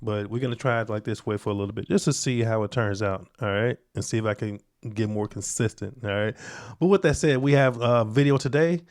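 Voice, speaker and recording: A man's voice: lowish voice, fairly quick, hardly any pauses, a little mellow. A man hosting a reaction video, giving casual, conversational commentary in an informal, slangy way.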